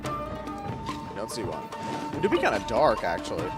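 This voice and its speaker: Casual gaming commentator, slangy and joking, very animated, loud game music behind. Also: medium pitch, moderate pace. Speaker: gamer narrating a playthrough. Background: video game music.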